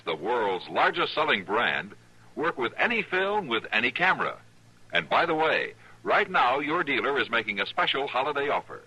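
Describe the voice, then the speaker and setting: Man doing old radio ad read, bouncy and bright, kinda husky. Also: medium pitch, low-quality recording. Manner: smooth, persuasive, emphatic. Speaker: announcer. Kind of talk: 1950s radio ad and announcing.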